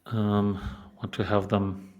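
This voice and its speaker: A man's voice: on the low side, flat delivery, takes it slowly, darkish tone, muffled recording. A man from Poland hosting a developer live stream, chatting casually with lots of fillers and restarts. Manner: rambling, many fillers and restarts.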